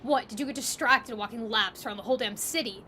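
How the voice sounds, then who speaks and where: A woman's voice: a little high, fast talker, pushed and bright, slight background noise. A woman voicing a cocky, sweary, hot-tempered punk boy in his late teens in an audio roleplay. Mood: furious. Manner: brash, sweary, indignant.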